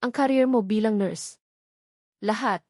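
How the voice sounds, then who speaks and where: A woman's voice: on the high side, measured pace, darkish tone, dry recording, studio quality. Woman voicing a cold, cruel young man. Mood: menacing. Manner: menacing, emphatic, dismissive. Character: cold, cruel young man rejecting his pregnant lover.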